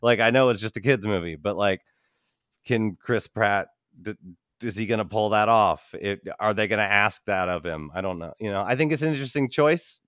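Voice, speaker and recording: A man's voice: fairly low pitch, moderate pace, a bit bright, no echo, studio quality. A man chatting casually as a podcast host in informal, slangy language. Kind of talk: casual chat.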